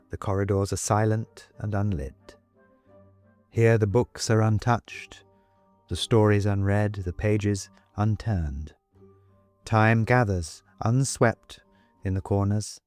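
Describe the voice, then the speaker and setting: A man narrating an audiobook story in a deliberate, expressive, literary delivery. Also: fairly low pitch.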